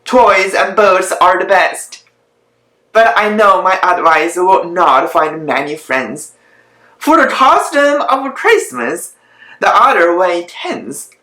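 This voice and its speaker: A woman acts out a solemn old country doctor from a storybook. The advice comes slowly, in a measured and admonishing way. The voice sits at a middle pitch in an echoey room. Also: sharp tone, full character voice.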